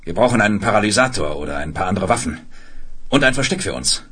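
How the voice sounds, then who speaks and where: Deep-voiced, grave spaceship commander. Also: male voice, animated, pushed and bright, breathy edge, full character voice. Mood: grave, resolute. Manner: measured, authoritative, deliberate. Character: commanding spaceship leader, calm, grave, decisive man. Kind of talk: 1970s German science fiction radio play acting.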